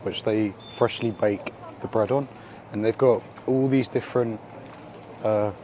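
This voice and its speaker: Croaky guy, low mellow voice, chatty food vlogger, faint street noise. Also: fairly even intonation, unhurried. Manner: chatty, upbeat, uses slang, lots of fillers. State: croaky voice from being ill. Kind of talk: casual food vlog. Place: out and about in city streets and a small eatery.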